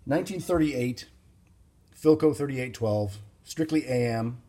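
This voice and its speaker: A man giving an informal, unscripted hands-on demonstration as a hobbyist, explaining things in a plain-spoken, halting way. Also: medium pitch, lively intonation, dark tone. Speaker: vintage radio hobbyist presenting a demonstration.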